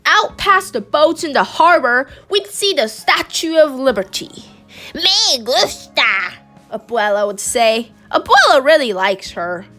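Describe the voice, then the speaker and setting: A woman reading a picture book aloud in a gentle, expressive way. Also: high-pitched, measured pace, hardly any pauses, soft background music. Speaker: storybook reader.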